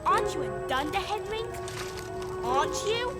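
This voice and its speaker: A woman playing a quarrelsome, indignant little possum, shrill and bickering, in an audio drama. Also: very animated, moderate pace. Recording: loud music over the voice.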